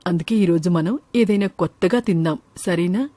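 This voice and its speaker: A woman voicing a poor, gentle, humble farmer father in an animated moral story. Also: fairly low pitch, normal speed, no room sound, lightly acted. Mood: tender.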